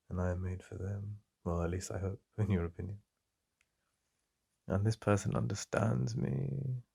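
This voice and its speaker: English guy murmuring close, deep and husky, kinda halting and heartfelt. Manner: soft-spoken, intimate, halting and heartfelt. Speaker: ASMR roleplay host. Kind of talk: boyfriend ASMR roleplay.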